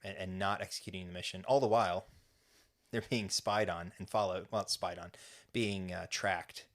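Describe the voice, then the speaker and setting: A man's voice: sits low, steady tone, moderate pace. A man co-hosting a fan podcast, recapping an episode in casual chat with lots of restarts and fillers. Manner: rambling, many restarts and fillers. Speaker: podcast co-host.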